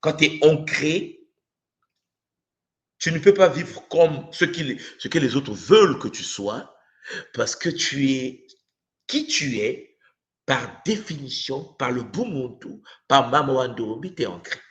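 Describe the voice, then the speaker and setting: A man's voice: medium pitch, animated, slow pace. A man with a Congolese accent giving an impassioned spiritual lesson, using rhetorical questions and repeating himself for emphasis. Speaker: spiritual teacher. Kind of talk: impassioned spiritual teaching to an online audience.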